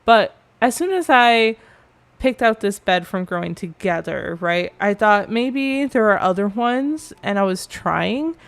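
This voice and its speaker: A woman's voice: mid-range voice, animated, a little slow, creaky. Chatty young woman, slightly nasal. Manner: chatty, rambling, self-correcting. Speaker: game build creator. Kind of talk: casual voice-over commentary. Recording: faint background music.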